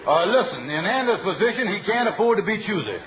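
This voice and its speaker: A high, wisecracking schemer. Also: male voice, very animated, fast. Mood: teasing. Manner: wisecracking, needling. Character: sly, teasing smooth-talking schemer. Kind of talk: 1940s radio comedy acting. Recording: muffled old radio broadcast with loud hiss.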